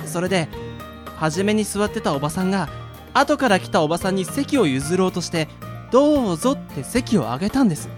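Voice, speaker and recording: A woman's voice: on the low side, very animated, a little fast, music in the background. A woman playing a polite, earnest, easily impressed train hand strap in a comic radio drama. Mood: flustered storytelling.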